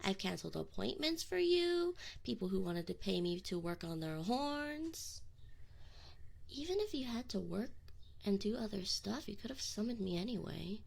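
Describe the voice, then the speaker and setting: Woman playing a prickly tsundere succubus, swooping lively pitch. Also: gravelly. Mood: indignant, pouty. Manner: defensive, teasing, halting when admitting feelings. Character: tsundere succubus demon, young woman, prickly, needy, affectionate. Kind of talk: scripted ASMR roleplay acting, intimate direct address.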